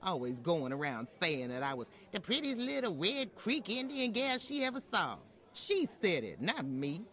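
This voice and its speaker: A woman playing an outspoken, unapologetic former maid, telling her story in a folksy, plainspoken way with dramatic pauses, in old-time radio style. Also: fairly low pitch, big pitch swings, fairly bright tone. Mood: defiant.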